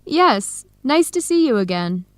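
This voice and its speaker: A friendly, easygoing young woman in a radio drama. Her voice is high and very animated, and she takes her time over each line in a dry, close sound. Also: a little slow, no echo. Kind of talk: scripted educational radio drama dialogue.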